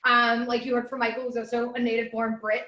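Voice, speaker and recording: Bright, chatty woman in an echoey room. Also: on the high side, completely flat delivery, fast. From Los Angeles, USA. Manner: chatty, upbeat storytelling with many fillers. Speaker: guest speaker, educator. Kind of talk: live webinar presentation. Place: at home.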